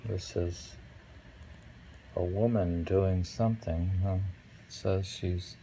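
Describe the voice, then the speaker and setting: A man reading haiku aloud casually, musing and halting, murmuring to himself between poems. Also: deep voice, fairly even intonation, mellow, slight background noise, poor audio. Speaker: reader of a haiku book. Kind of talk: casual poetry read-aloud with musing commentary.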